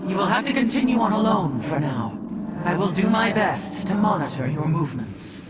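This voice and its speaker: An actor voicing a steadfast, loyal alien templar commander in a video game, speaking formally and with command. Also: male voice, sits high, very animated, moderate pace, heavy background noise. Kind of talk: video game mission dialogue. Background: game score.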